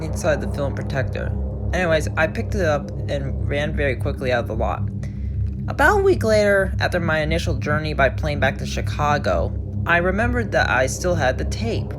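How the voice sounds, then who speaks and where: A man reads a story aloud as the host of a narration show. His delivery is informal, with small stumbles and self-corrections. Music plays clearly behind his voice. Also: a little high. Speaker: host and reader of creepypasta episodes.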